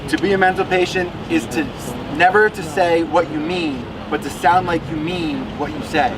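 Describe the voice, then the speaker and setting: A man's voice: fairly high pitch, normal speed. A man reciting lines of a poem at a public protest. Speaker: protest participant reading a poem. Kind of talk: public poetry reading at a protest. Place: outdoors at a street protest. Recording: clear outdoor traffic noise.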